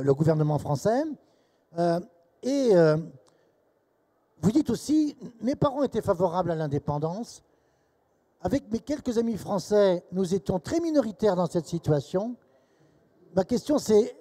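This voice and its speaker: A man conducting a literary interview, quoting from a book in wordy, deliberate phrasing with frequent interjected tags. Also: high voice, warm tone. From provincial France.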